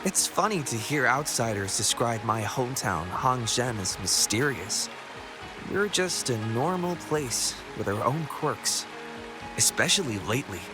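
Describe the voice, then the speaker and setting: A man's voice: medium pitch, natural voice. A man playing a chatty, wry townsman in a video game, telling stories conversationally over music. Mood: amused. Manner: conversational, bemused, storytelling. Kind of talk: video game character dialogue.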